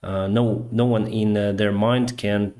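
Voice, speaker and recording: A Ukrainian-accented man talks slowly and unhurriedly as host of a casual live stream. His voice sits fairly low. He gropes for words in English, a language he learned later, and leaves many hesitant "um"s and "uh"s. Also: steady tone, warm tone.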